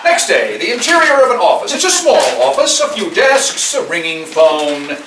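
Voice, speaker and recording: A lively, slightly high-voiced man reads scripted stage directions at a live table read. The sound is echoey and noisy, picked up from some way off in the room. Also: moderate pace. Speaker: narrator reading stage directions. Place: live staged reading at a party. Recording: echoey room, distant mic, clearly noisy.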